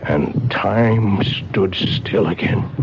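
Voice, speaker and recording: A man playing an obsessive, irritable clockmaker who tells his eerie tale in a 1970s radio mystery, with dramatic, measured delivery and tense outbursts. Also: deep voice, vocal fry, heavy background noise, exaggerated voice. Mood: brooding, desperate. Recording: dull radio recording with loud music behind.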